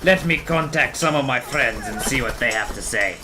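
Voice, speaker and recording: A man's voice: medium pitch, lively intonation, normal speed, noisy background, close to an everyday voice. A man playing a wary, haggling scrapyard trader in an audio drama, drawing out his words with exclamatory delivery. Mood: won over. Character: gruff-dealing male rebel scrapyard trader, wary haggler.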